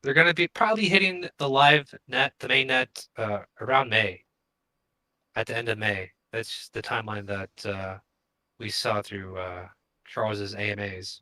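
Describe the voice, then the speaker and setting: Very bright, sharp-voiced man. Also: fairly low pitch, a little slow, rough recording. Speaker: co-host of a crypto show. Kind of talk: casual explanatory livestream talk.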